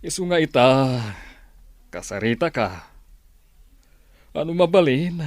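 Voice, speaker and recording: A man playing an indulgent middle-aged father and company boss in an Ilocano radio drama, speaking with deliberate, weighty authority. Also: fairly high pitch, animated, no room sound, clean studio sound. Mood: measured, authoritative.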